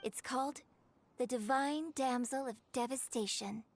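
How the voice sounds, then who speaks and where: High-voiced, poised young opera performer. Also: female voice, unhurried, a little hiss or hum. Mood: gracious, refined. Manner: formal, refined. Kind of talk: video game voice acting.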